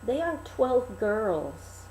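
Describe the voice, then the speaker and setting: A woman voicing an all-knowing lion in a fairy tale, speaking deliberately in old-fashioned phrasing. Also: fairly high pitch, very animated, a little mellow, reverberant, heavily acted, rough recording. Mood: knowing, assured. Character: all-knowing lion advising a king, sly and sure.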